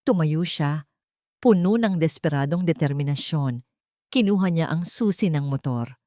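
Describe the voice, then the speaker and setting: A woman's voice: sits low, lively intonation, moderate pace, warm tone, dry recording, studio quality. A woman narrating a dramatic story in a scripted storytelling style.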